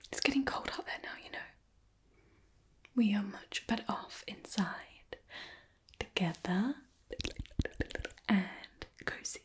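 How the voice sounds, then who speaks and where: A woman playing a jealous, clingy, teasing ex-girlfriend in an ASMR roleplay, in a soft breathy whisper close to the microphone. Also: mid-range voice. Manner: slow, lingering, teasing delivery.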